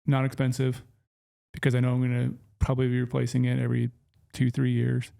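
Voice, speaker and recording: A man's voice: medium pitch, monotone, brisk, creaky, dark tone. A man co-hosting a casual podcast, explaining his choices in an easygoing, plain way. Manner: easygoing, explains plainly, short agreeing replies. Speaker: podcast co-host.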